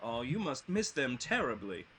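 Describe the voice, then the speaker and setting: A man's voice: medium pitch, sing-song, normal speed, crowd noise, natural voice. A man playing a tender-hearted, anxious and weepy tin woodman in a live fantasy table read, fretful and melodramatic. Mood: gentle.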